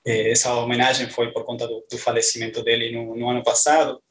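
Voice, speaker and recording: Man in his thirties with a strong Argentine Spanish accent, giving a scholarly talk over a video call, flat and level delivery, frequent "eh" fillers while he gathers his thoughts. He speaks into a headset from a small room at home. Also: medium pitch, fairly quick. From northeast Argentina. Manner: hesitant, many "eh" fillers. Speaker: postdoctoral researcher. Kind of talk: academic presentation on a video call.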